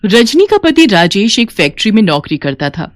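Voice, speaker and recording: A woman's voice: mid-range voice, very animated, normal speed, slight background noise. A woman reading scripted story narration as the narrator.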